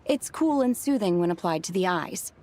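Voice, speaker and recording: A woman voicing a calm, reserved young restaurant worker in a video game, polite, composed and literal-minded. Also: on the low side, close to an everyday voice. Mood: calm, helpful. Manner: composed, polite, measured, matter-of-fact. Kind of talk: English video game voice acting.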